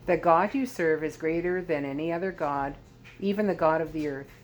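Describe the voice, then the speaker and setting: A woman's voice: sits low, normal speed, close to an everyday voice. A woman voicing an old sorcerer, an emotional and earnest man, as she reads a story aloud. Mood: fearful, hopeful. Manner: earnest, pleading, dramatic. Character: frightened old Chaldean sorcerer, desperate, pleading, emotional man.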